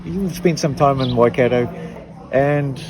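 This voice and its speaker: A middle-aged woman with a New Zealand accent, giving an emotional personal testimony in an earnest, halting way with frequent restarts. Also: rumbling low, a little mellow, a little hiss or hum, rough recording. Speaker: activist interviewee.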